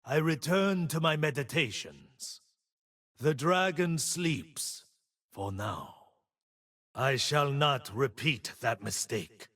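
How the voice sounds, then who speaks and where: An actor playing a stern, disciplined martial monk in a video game, gritty, measured and wise in his solemn delivery. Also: mid-range voice, bright, forceful tone, slightly rough, full character voice. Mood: calm resolve. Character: stern, disciplined blind martial monk bearing a dragon spirit. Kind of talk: video game character voice lines.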